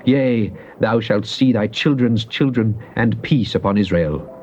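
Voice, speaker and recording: A man giving a dramatized recitation of psalms, solemn and declamatory, with drawn-out emphasis. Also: fairly low pitch, darkish tone. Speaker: scripture reader. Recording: muffled, noisy old cassette tape.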